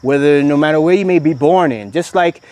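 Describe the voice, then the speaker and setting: A man from Miami with an American accent touched by Kenyan speech, an organic farmer being interviewed, talking reflectively with many pauses. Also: fairly high pitch, darkish tone. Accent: American from Miami, with some Kenyan influence. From Miami, Florida. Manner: reflective, speaks with frequent "uh" pauses and building metaphors. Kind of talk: casual interview. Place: raised platform outdoors on a rural farm.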